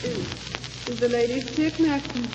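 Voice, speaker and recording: An actress in an old radio drama playing a timid, anxious invalid wife who speaks in a faltering, plaintive way. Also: female voice, high voice, a little slow, music in the background. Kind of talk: 1930s radio drama acting. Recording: muffled, hissy 1930s radio recording.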